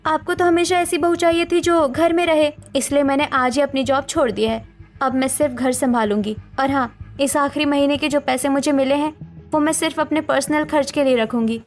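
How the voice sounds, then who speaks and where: High-pitched, defiant young daughter-in-law played by a woman. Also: fairly even intonation, fairly quick. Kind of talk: Hindi cartoon family melodrama acting.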